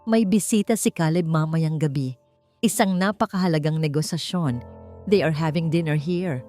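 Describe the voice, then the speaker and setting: A woman's voice: sits low, moderate pace, mellow, faint music behind, lightly acted. A woman voicing a haughty, condescending rich matriarch in a dramatic romance audio story, taunting and mixing Tagalog with English. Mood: cold, taunting. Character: haughty, mocking rich matriarch, cold mother-in-law villain.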